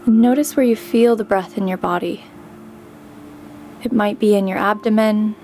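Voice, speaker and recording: A woman leading a guided meditation with calm, gentle instruction and pauses between phrases. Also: medium pitch, slight background noise. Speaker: meditation guide, yoga teacher. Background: background music.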